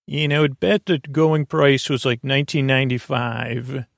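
A man hosting a bedtime podcast in a meandering, hesitant monologue that drifts off topic, with a gravelly, creaky voice. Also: medium pitch, fairly even intonation, normal speed, no echo, studio quality. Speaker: podcast host.

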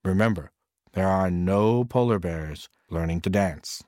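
A man's voice: low voice, measured pace, a little mellow, no room sound, voice pushed down. Man doing a strict busy dad, firm and kinda dismissive, dry close sound. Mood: stern. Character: stern busy father.

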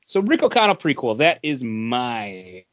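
A man's voice: medium pitch, wildly swooping pitch, a little slow, fairly bright tone, no echo, highs cut off. A man co-hosting a podcast in casual chat, rambling through his ideas. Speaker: podcast co-host.

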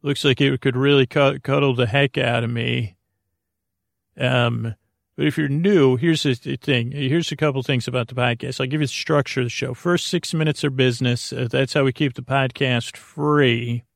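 Male sleep podcast host, gravelly and creaky, dry close sound, halting, wandering bedtime monologue full of uhs. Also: mid-range voice, fairly even intonation, no echo. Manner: meandering, halting, tangential, full of drawn-out uhs.

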